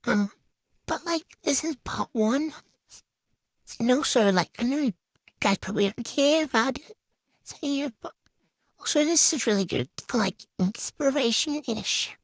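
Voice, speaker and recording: High-pitched woman, slow casual vlog chat. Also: animated, dry recording. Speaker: vlogger. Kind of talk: casual chatty show-and-tell voice-over.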